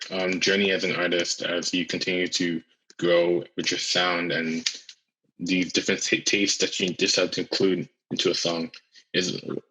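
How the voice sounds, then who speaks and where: A man's voice: deep voice, fairly bright tone, husky, low-quality recording. A man hosting a podcast, asking questions casually with many hesitations and restarts. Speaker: podcast host.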